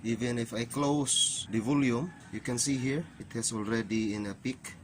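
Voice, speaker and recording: A man's voice: medium pitch, moderate pace. A man narrating a hands-on repair tutorial, explaining plainly step by step with non-native phrasing. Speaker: repair channel host. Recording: clearly noisy recording with ambient hiss.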